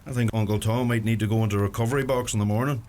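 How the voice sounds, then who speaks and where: An actor playing a gentle, thoughtful young farmer with a Donegal Irish accent in a radio play. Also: male voice, fairly low pitch, fairly even intonation, racing, a little hiss or hum, natural voice. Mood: fond, modest. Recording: music under the voice.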